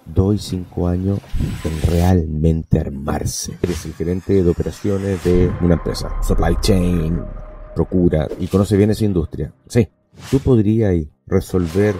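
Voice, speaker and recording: A man, a podcast guest telling his story in an emphatic, animated way. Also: sits low, a little hiss or hum. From Venezuela. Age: 50s. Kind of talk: casual interview talk.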